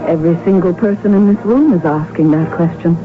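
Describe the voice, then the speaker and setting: A woman's voice: fairly low pitch, brisk, close to an everyday voice. Witty, outspoken noblewoman played by an actress, formal and precise, radio drama on a muffled recording over music. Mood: wry, pointed. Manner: formal, arch, precise diction. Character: witty, outspoken countess from an impoverished noble house. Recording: muffled old radio broadcast, music behind.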